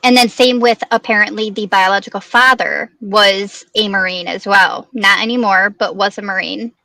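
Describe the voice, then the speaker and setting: A woman's voice: medium pitch, steady tone, normal speed, creaky, bright, forceful tone, no room sound. A woman talking casually through a news case on a true crime stream, explaining things in a tentative way. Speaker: guest commentator on a true crime livestream.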